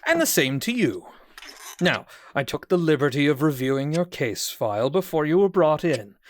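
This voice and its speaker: A man's voice: a little high, sing-song, normal speed, a little hiss or hum. A man playing a condescending, falsely cheerful psychiatrist in a horror audio drama, with a smug, mock-polite manner. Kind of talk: theatrical audio drama acting.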